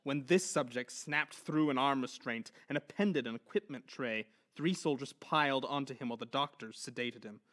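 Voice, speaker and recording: A man's voice: medium pitch, normal speed, hardly any pauses, a bit bright. A man narrating a horror story in an intense, theatrical manner.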